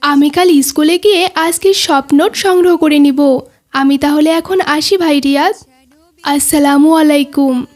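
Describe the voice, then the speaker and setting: A well-behaved little schoolboy voiced by a woman with a very high voice. He is courteous and earnest, carefully explaining things in a children's cartoon style. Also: measured pace, a little mellow. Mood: reassuring. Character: dutiful, polite, well-behaved schoolboy friend. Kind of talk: cartoon dialogue.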